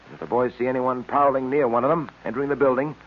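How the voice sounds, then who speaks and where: A man playing a brisk, commanding, methodical police detective in an old radio serial, clipped and authoritative. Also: medium pitch, steady tone, close to an everyday voice. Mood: brisk, focused. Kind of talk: 1930s-40s radio serial acting. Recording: muffled vintage radio serial recording.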